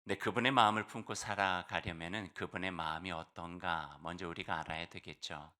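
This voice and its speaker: A male pastor preaching a sermon in conversational style, hoarse from a cold, with fillers and slow, emphatic phrases. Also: low voice, steady tone, bright, forceful tone.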